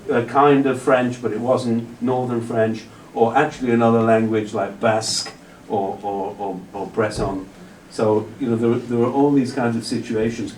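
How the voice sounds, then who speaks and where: A man's voice: medium pitch, darkish tone, far from the mic, slight background noise. A male lecturer answering an audience question at length, rambling with frequent restarts. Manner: discursive, many restarts and "you know". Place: lecture hall. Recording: roomy hall audio from afar.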